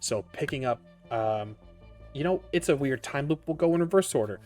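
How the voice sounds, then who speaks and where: A man runs a tabletop roleplaying game as its host and narrator. His voice sits at an ordinary male pitch, with measured phrasing and faint music underneath. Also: animated, a little mellow.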